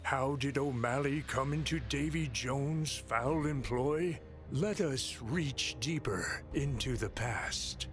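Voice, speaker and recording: A solemn storyteller narrates a dark pirate legend at an unhurried pace. His voice is mid-pitched and measured, set over prominent music. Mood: solemn, foreboding. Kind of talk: dramatic scripted storytelling.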